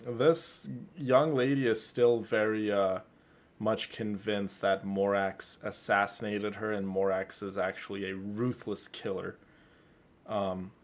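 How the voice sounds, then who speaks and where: A man's voice: on the low side, steady tone, slow pace. A man doing casual, rambling and emphatic live commentary as he plays a game, easily amused. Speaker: game streamer.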